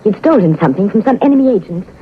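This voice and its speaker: An actress playing an anxious, grieving young wife in an earnest, emotional 1940s radio drama style. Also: female voice, mid-range voice, lively intonation, very fast, close to an everyday voice. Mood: anxious, confiding. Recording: dull, hissy vintage radio recording.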